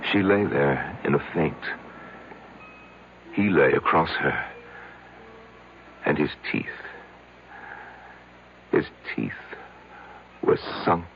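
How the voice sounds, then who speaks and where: An actor playing a haunted man confessing his strange past, with halting, repetitive, dramatic delivery in an old-time radio drama. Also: a bass voice, animated, gravelly, full character voice. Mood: intense, haunted. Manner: halting, repeating, dramatic pauses. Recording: noisy, dull old radio broadcast.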